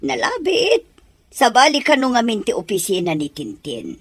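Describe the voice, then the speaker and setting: A woman playing an ailing, wheedling matchmaking mother in an Ilocano-accented radio comedy drama, with a husky voice. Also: a little high, huge pitch swings, moderate pace, no room sound, exaggerated voice. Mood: teasing, scheming.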